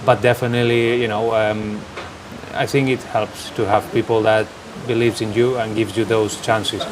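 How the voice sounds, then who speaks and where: A fairly low-voiced man, answering questions earnestly in slightly broken English. He often restarts his sentences. An air conditioner hums clearly behind him. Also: level tone, normal speed. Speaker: national team assistant coach, guest. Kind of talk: interview answers. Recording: background noise from air conditioning.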